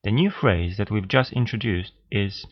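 A man co-hosting a scripted beginner language lesson podcast, steady and explanatory as he prompts listeners, on a slightly dull lo-fi recording. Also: on the low side, moderate pace. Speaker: language teacher, podcast co-host.

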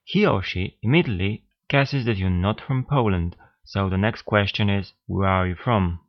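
A man co-hosting a scripted beginner language lesson podcast, steady and explanatory as he prompts listeners, on a slightly dull lo-fi recording. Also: fairly low pitch, moderate pace. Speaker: language teacher, podcast co-host.

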